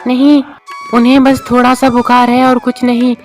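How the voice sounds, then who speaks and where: Casting: greedy, scheming farmer's wife played by a man, shrill and high-pitched, cartoon storybook style, music underneath. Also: monotone, normal speed. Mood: dutiful. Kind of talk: cartoon moral-story voice acting.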